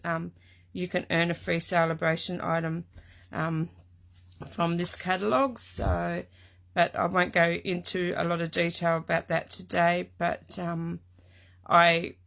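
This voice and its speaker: A hoarse middle-aged Australian woman chats through a live craft stream from her home craft room, greeting viewers by name as she goes. Her voice sits fairly low and carries a gravelly, croaky fry from a lingering cough. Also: a bit bright. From Tasmania, Australia. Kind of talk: casual live-stream chat.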